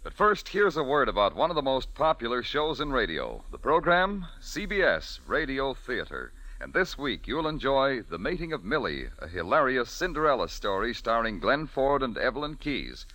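A male announcer gives a crisp, bright promotional read in classic network style. His pitch rises and falls lively, and the sound is dry and close. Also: normal speed, few pauses, no echo. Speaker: radio announcer.

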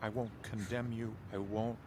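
An actor playing a kind, fussy schoolteacher husband who talks in a soothing, earnest way. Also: male voice, lowish voice, little pitch movement, normal speed, noisy background, close to an everyday voice. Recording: outdoor birdsong and ambience. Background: birds, outdoor ambience.

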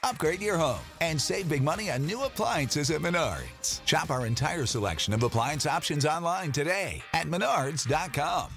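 A male commercial announcer reads modern retail advertising over a guitar music bed. His voice is slightly high and very animated in pitch. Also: normal speed, vocal fry, hardly any pauses.

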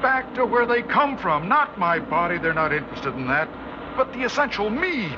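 Terrified captive scientist: extremely high, pleading voice, urgent vintage radio horror, noisy track. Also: male voice, big pitch swings, moderate pace. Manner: urgent, pleading, breathless dread. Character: desperate male scientist held captive by aliens. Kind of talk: 1960s radio drama acting. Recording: noisy, muffled old radio recording.

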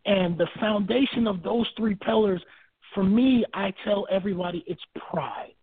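A man from Barbados, a guest author in a phone-in interview, speaking in a measured way and repeating points for emphasis. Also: high-pitched, breathy edge, dry recording. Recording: tinny phone line, dry.

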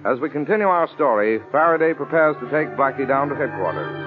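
A man narrating a story recap as announcer in dramatic, measured 1940s radio style. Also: medium pitch, expressive. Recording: tinny old radio recording, loud music.

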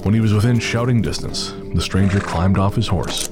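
A man narrating a western horror story in a measured, steady way. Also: lowish voice, music in the background.

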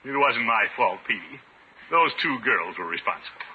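An actor playing a pompous but easily flustered, sentimental middle-aged American bachelor, blustering and chuckling in a 1950s radio comedy. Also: male voice, medium pitch, expressive, normal speed, sharp tone, slightly rough, full character voice. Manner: blustering, chuckling, swooping exclamations. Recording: tinny, noisy old radio recording.

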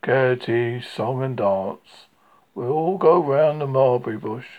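A man voicing a gloomy, self-pitying old grey male donkey with a low, mournful delivery. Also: phone-quality sound, lightly acted. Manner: mournful, sighing and slow.

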